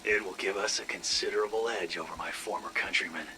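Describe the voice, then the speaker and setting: An actor playing a smug, ambitious, greedy scientist in a game audio log, speaking in a theatrical, pompous, sinister way. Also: male voice, fairly low pitch, quick pace, noisy background, lightly acted. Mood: gleeful, greedy. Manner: theatrical, pompous, savoring his words. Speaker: scientist recording personal logs. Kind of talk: dramatic audio log monologue.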